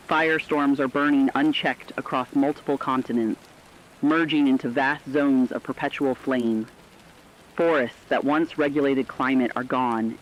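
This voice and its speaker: A man playing a grave radio correspondent, giving a scripted disaster report in a serious, formal, measured manner. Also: mid-range voice, dull audio, a little hiss or hum, close to an everyday voice. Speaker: news correspondent.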